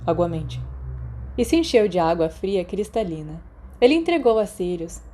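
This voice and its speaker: A woman's voice: mid-range voice, sing-song, normal speed, mellow, a little hiss or hum. A woman with a Brazilian accent reading scripted story narration, as in an audiobook. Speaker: audiobook narrator of a fan fiction podcast.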